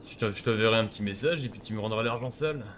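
A man plays a crime boss in casual online roleplay, speaking fast in a fairly low voice. He orders people around in slangy, sweary language. There is some noise behind him. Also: fairly bright tone. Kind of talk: casual improvised game roleplay.

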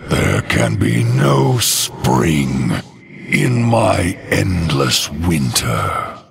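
An actor playing a cruel, imperious undead death knight king in a video game, with slow, menacing, commanding declarations in a deep gravelly rasp. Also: big pitch swings, over music, exaggerated voice. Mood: imperious, solemn. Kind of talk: video game hero voice lines.

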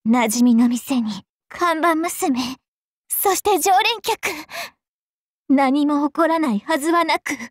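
A woman's voice: high-pitched, lively intonation, slow, no echo, clean studio sound. A woman playing a young, sweet, clingy dragon princess in a mobile game, very polite and girlish with theatrical, coquettish delivery. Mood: coy, lovestruck. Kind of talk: Japanese mobile game character voice acting.

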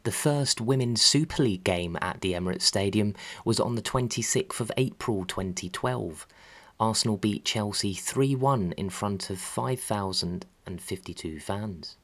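A man reading scripted documentary narration about sport in a measured, factual way. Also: on the low side, fairly bright tone. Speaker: narrator of a football history channel.

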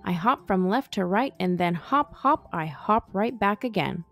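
A woman's voice: mid-range voice, lively intonation, a little slow, a bit bright, soft background music. A woman voicing a tiny, eager hopping creature from a storybook in a bouncy, rhythmic singsong.

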